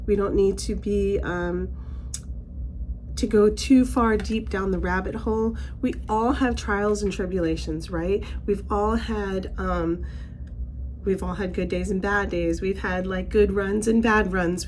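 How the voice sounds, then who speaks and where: A middle-aged woman giving a casual spiritual card reading, her voice slightly raspy as she recovers from laryngitis, chatty and rambling with many fillers and restarts. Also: medium pitch, darkish tone, a little hiss or hum. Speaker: oracle card reader.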